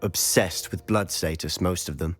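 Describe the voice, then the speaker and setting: A man's voice: low-pitched, moderate pace, fairly bright tone, faint music behind. A young man playing a confident, secretive student in a game cutscene, eager and conspiratorial. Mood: confiding.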